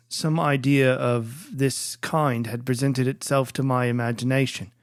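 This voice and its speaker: A narrator reading a literary story in a formal, measured, dramatic audiobook style. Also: mid-range voice, dry recording, clean studio sound.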